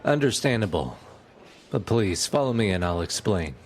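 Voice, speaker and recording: A man playing a courteous, businesslike police detective in an audio roleplay, with a husky voice. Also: lowish voice, sing-song, moderate pace. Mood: polite, brisk.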